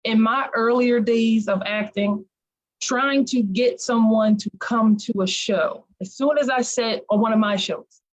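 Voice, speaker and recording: A woman's voice: fairly high pitch, unhurried, dull audio. A woman answering conversationally as an interview guest, chatty and emphatic about her points. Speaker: talk show guest, actress.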